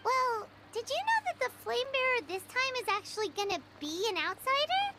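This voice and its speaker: A woman voicing a tiny, childlike, excitable fairy companion in a video game, with a squeaky, chirpy delivery. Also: moderate pace, heavy background noise, exaggerated voice. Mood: curious, bubbly. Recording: game music behind.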